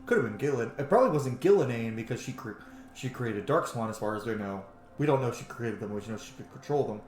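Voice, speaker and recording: A man doing casual let's play commentary on a video game, chatty and excitable, with plenty of swearing. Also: mid-range voice, fast talker. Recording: echoey room with game music behind.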